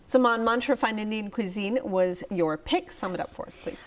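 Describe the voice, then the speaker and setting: A woman hosting a restaurant review show, moving her guests along with short, brisk prompts. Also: mid-range voice. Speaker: host.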